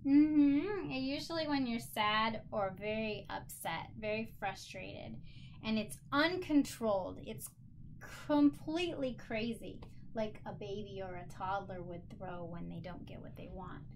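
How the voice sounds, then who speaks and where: A woman's voice: medium pitch, a little slow, slight background noise, low-quality recording. A woman teaching children in an encouraging, simply worded way, like a teacher leading a lesson and read-aloud. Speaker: special education teacher.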